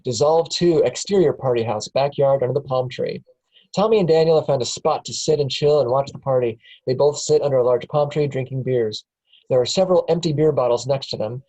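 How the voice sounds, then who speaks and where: Table-read narrator, male, mellow and plain. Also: medium pitch, brisk, low-quality recording. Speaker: narrator reading stage directions.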